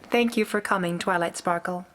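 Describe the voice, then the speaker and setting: Woman playing regal pony princess, wise old ruler, formal, grave, measured diction, radio drama. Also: lowish voice, fairly bright tone, natural voice. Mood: gracious, wise. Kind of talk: fan-made radio drama acting.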